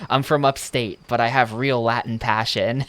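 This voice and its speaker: A man's voice: mid-range voice, steady tone, measured pace, fairly bright tone. A young man from the United States commenting casually on a game as he plays, with dry, joking asides. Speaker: video game streamer.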